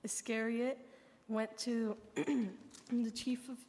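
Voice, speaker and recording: A woman's voice: on the high side, flat delivery, slow pace, a little mellow. Echoey hall, woman narrator, scripted scripture reading, occasional self-corrections. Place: large church during a service.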